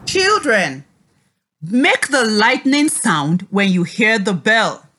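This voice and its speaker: A woman teaching a read-aloud lesson to children in an expressive, encouraging, deliberate way. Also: mid-range voice, noisy background.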